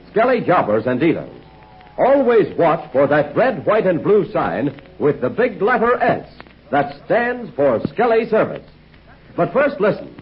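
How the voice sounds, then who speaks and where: A man announcing a 1940s radio commercial in a chummy, persuasive sales pitch. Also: slight background noise. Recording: dull old 1940s radio recording.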